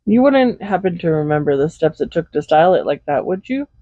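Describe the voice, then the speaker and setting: A woman playing a doting, possessive villain in an intimate roleplay audio, speaking softly and without hurry in a gently teasing manner. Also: sits low, big pitch swings, dry recording. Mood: curious, fond. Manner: soft-spoken, unhurried, gently teasing. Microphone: Blue Yeti USB microphone, close. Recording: slightly dull home microphone recording.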